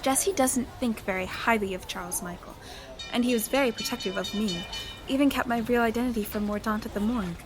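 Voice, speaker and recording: An actress playing an anxious, principled young heroine in an audio drama, hesitant and cautious. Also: female voice, on the high side, music in the background, close to an everyday voice. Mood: hesitant, reasoning. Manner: hesitant, halting.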